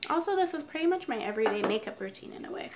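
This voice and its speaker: A woman with a somewhat high voice and a stuffy nose explains her makeup routine in a chatty, step-by-step way. She talks casually from home. A faint hiss from the mic stays under her voice. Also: very animated, racing. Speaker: beauty vlogger. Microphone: noisy mic.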